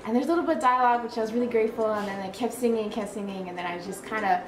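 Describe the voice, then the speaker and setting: A woman's voice: fairly high pitch, rapid-fire, echoey room, music in the background. A woman casually recounting a personal story in a hesitant, rambling, conversational way.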